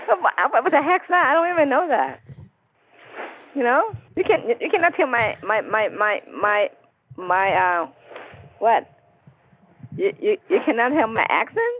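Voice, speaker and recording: A woman's voice: on the high side, lively intonation, a little slow, pauses often, slight background noise. A woman with a Chinese accent, a store owner on a phone call, talking bluntly in broken English and laughing often. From China. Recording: tinny phone line.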